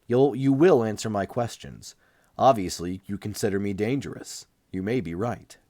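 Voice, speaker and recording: A man's voice: on the low side, moderate pace, dark tone, no echo, studio quality, close to an everyday voice. A man voicing a tough, sardonic patient in an audiobook, blunt and commanding with a threatening edge. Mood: demanding. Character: tough, demanding, sardonic escaped male patient. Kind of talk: audiobook character dialogue.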